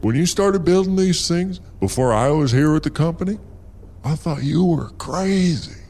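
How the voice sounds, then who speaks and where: A man's voice: a little high, lively intonation, moderate pace, darkish tone. A man playing an earnest, pleading coworker with emphatic, melodramatic delivery in an audio comedy sketch. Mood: earnest, emotional. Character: earnest, pleading male coworker in absurd goose factory.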